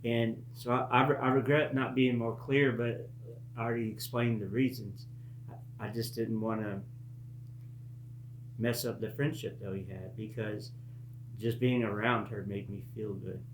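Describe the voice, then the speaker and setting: A man in his late thirties telling a personal story casually, in a halting, reflective and earnest way. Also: lowish voice, fairly even intonation. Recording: echoey room with faint hum.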